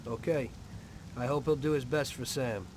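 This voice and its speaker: A guarded 1930s American mobster, played by a man in a crime game. He is mid-pitched, plain-spoken and skeptical, with an uneasy edge, over slight background noise. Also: moderate pace, lightly acted. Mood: wary. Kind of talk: dramatic video game voice acting.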